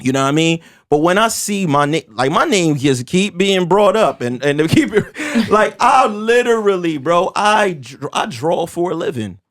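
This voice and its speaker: A man in his forties with a fairly high voice, holding court on a casual podcast. He talks with emphatic, animated energy, leans on street slang and stumbles into quick restarts before driving his point home. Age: 40s. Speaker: podcast host.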